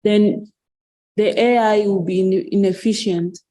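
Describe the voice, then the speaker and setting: Woman, mellow voice, student asking questions in class; tentative, apologizes, hunts for words. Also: medium pitch, fairly even intonation, a little slow.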